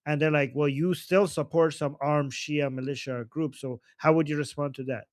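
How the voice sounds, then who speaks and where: A man's voice: on the high side, fairly even intonation, moderate pace, no echo, clean studio sound. A man from Iran hosting a casual political discussion, joking and teasing, laughing often. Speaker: host.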